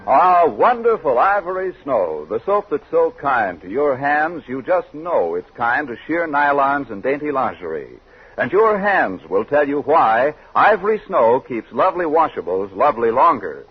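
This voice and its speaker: Husky, animated man reading a radio advert. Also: medium pitch, normal speed, lo-fi sound, faint music behind. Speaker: commercial announcer.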